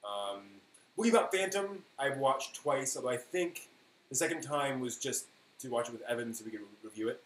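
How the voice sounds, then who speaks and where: A man hosting a live stream in casual, rambling chat, thinking aloud haltingly and leaning on key words. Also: medium pitch, lively intonation, fairly bright tone. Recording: echoey room with faint noise.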